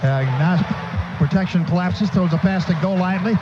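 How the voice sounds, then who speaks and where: A man's voice: high-pitched, moderate pace. An American man doing live radio play-by-play of a football game, calling each play in clipped present-tense phrases. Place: stadium broadcast booth. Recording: muffled, noisy old radio broadcast.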